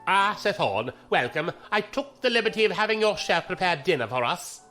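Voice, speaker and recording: A man's voice: sits high, big pitch swings, normal speed, pushed and bright, soft background music, heavily acted. A man playing a courteous imperial navy commodore in a science fiction audio drama, genteel, diplomatic and formal. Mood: politely commanding.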